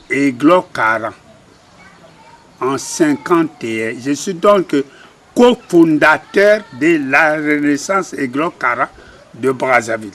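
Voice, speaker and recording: An older man with a Congolese French accent recounting his life in an interview, halting and modest. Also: fairly high pitch, a bit bright. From Republic of the Congo. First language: a Congolese language. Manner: slow, halting, self-deprecating. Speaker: interviewee, retired cabinetmaker. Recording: faint background noise.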